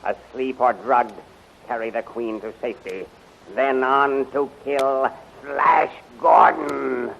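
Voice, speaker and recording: A man's voice: mid-range voice, fairly even intonation, slow pace, vocal fry. A man playing a commanding, resolute rebel general in 1930s radio drama style, on a muffled, noisy old recording. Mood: determined.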